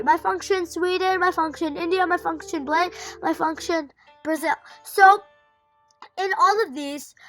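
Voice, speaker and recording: A woman's voice: extremely high pitch, fairly bright tone, dry recording, low-quality recording. A woman reading through a programming tutorial, talking through code examples with fillers and self-corrections. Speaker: coding tutor.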